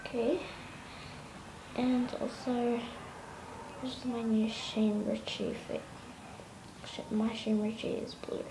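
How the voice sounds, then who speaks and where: Woman rambling slowly on a phone vlog, lots of ums, hissy noisy recording. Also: on the high side, little pitch movement. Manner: rambling, with many fillers and restarts. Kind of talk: casual toy vlog.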